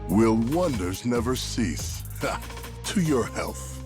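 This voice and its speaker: An actor playing a smug, theatrical schemer in a video game, suave and formal, over music. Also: male voice, mid-range voice, sing-song, slow pace. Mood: smug, amused. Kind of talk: dramatic video game acting. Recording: loud music bed behind voice.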